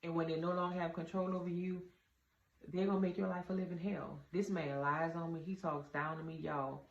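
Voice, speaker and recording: A woman with a deep voice gives casual, straight-talking relationship advice to her viewers, calling them y'all with earnest conviction. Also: fairly even intonation, brisk. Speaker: vlogger giving relationship advice. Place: indoor room with a door. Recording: roomy, slightly dull, faint ambient hum.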